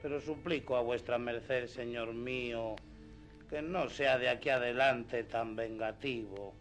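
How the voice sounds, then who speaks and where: A man's voice: mid-range voice, steady tone, measured pace, close to an everyday voice. A man playing a simple, outspoken, good-hearted peasant squire in a radio drama, rustic and deferential yet cheeky. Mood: pleading. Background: faint background music.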